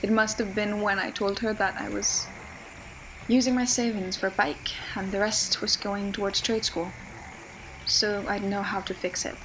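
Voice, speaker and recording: A woman's voice: medium pitch, level tone, moderate pace, lightly acted. A young woman playing a stubborn, regretful survivor who confides reflectively in an audio drama monologue. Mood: wry, wistful. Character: regretful, stubborn young woman missing someone she lost. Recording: clearly noisy, ambient background noise.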